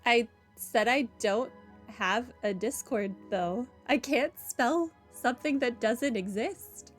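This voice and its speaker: A woman's voice: on the high side, slow. A woman chatting casually as she livestreams a video game playthrough. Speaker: game streamer. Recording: game music behind the voice.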